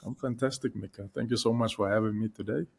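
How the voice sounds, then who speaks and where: Low, flat-voiced young man. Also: fast talker, gravelly, husky. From South Africa. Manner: hesitant, many fillers and restarts. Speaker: guest, content creator. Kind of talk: podcast interview.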